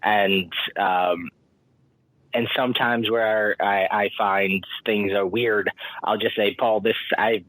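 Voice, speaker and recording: A man talking about his editing work on a podcast, measured and hesitant and full of fillers. His voice runs low for a man, and the sound is close and dry. He keeps an even, explanatory tone. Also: no room sound, rough recording. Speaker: script editor and writer. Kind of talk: casual interview chat.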